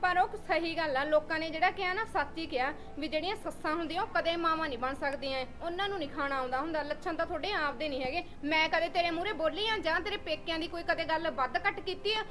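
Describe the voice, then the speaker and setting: A woman's voice: very high-pitched, quick pace, hardly any pauses, a bit bright. A woman playing a young daughter-in-law arguing with her mother-in-law. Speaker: channel host. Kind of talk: amateur short-film acting.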